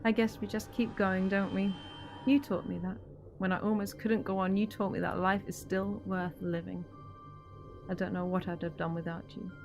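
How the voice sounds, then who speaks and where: A woman's voice: mid-range voice, flat delivery, moderate pace, a little mellow, close to an everyday voice. Audio drama survivor, female actor: grieving, bitter, resilient, intense delivery, mid-range voice, music underneath. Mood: sad, grateful. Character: grieving, bitter but resilient woman, survivor of a lost ship. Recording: music behind the voice.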